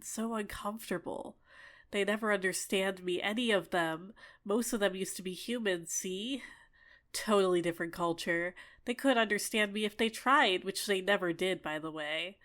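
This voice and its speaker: A bubbly, possessive fairy, acted by a woman in an ASMR audio roleplay. Her voice sits at a medium female pitch. She gushes and chatters coyly, sweet on the surface with a clingy, menacing edge underneath. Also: lightly acted. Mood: hurt, bitter. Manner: excitable, gushing, coy, chatty. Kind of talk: ASMR roleplay, scripted character acting.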